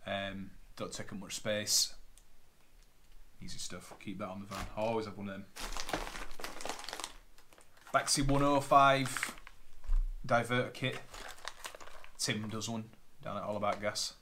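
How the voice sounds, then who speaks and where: Man, 30s, lowish voice, matter-of-fact, casual vlog, bags crinkling, faint hiss. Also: steady tone, unhurried, long pauses, bright, forceful tone. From Northern England. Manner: chatty, matter-of-fact, rattling off parts. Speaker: plumber. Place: living room at home. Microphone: camera on a tripod, seated at a table. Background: plastic bags crinkling.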